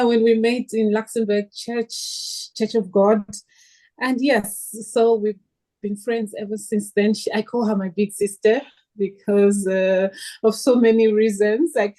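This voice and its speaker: A woman from Zambia introduces herself slowly and warmly to the group. Her voice is mid-pitched and easygoing. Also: rough recording. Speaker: guest in a group talk. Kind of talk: casual introduction.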